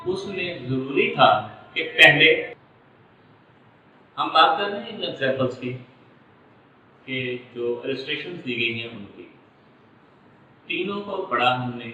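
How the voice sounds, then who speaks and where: A man with a Pakistani accent and a very bright, forceful voice gives an explanatory lecture, going over each point step by step. He sounds set back from the microphone in a strongly echoing room. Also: mid-range voice. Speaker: law lecturer. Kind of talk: explanatory lecture with worked examples. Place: echoey indoor room. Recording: echoey room, distant mic, muffled, faint noise.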